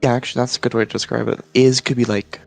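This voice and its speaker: A man talking as a guest in a casual explanatory chat, halting as he searches for his words. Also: mid-range voice, little pitch movement, gravelly, a little mellow, soft background music. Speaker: guest, series creator.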